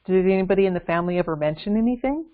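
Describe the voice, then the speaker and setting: A woman's voice: mid-range voice, expressive, rapid-fire. A woman talking casually as a guest researcher in an investigative discussion, thinking aloud hesitantly. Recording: dull lo-fi call with faint noise, clicks.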